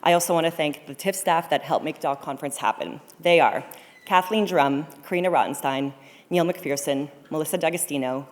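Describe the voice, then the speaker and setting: A woman hosting a conference, delivering a scripted welcome speech to open the event. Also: sits low. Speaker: conference co-programmer, host introducing event. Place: large studio auditorium, on stage. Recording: echoey hall with long reverb.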